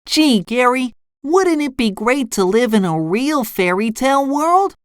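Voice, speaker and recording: Lady doing a super high eager cartoon sponge kid, naive n wide eyed, voice jumps all over. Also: big pitch swings, measured pace, smooth, dry recording, clean studio sound. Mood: dreamy. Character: excitable, naive young male cartoon sea sponge. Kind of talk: children's storybook character reading.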